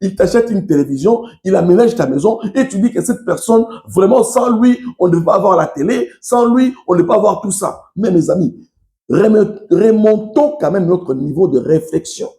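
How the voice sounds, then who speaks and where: A man's voice: sits high, expressive, moderate pace, warm tone, reverberant. A man from Kasai with a Congolese French accent, whose first language is Tshiluba, giving impassioned political commentary and speaking directly to his followers. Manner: emphatic, repetitive, addresses listeners directly.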